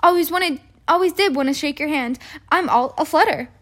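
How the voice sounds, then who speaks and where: A woman's voice: fairly high pitch, fairly even intonation, normal speed, fairly bright tone, lightly acted. A woman voicing a flustered, gushing female admirer, fluttery and effusive, in a storybook reading. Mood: flustered, proud.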